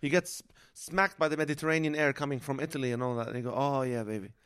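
A man's voice: mid-range voice, fairly even intonation, fast, a bit bright, smooth. A man in his forties from Lebanon, a comedian chatting and joking as a podcast guest in a motel room. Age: 40s. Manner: chatty, jokey storyteller. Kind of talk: casual podcast chat.

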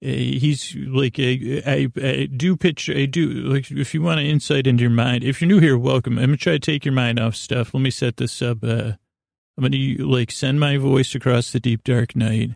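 A man hosting a sleep podcast in a creaky, soothing voice, meandering and halting through a lulling bedtime monologue full of digressions. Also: mid-range voice, fairly even intonation, a little mellow, dry recording, clean studio sound. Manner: meandering, halting, over-talking with many digressions. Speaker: podcast host.

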